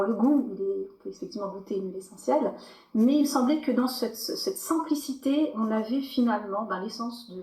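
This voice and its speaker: A woman with a French accent, a podcast guest telling her story in a loose, animated way with many fillers and restarts. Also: medium pitch. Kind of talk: casual podcast interview. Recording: echoey room.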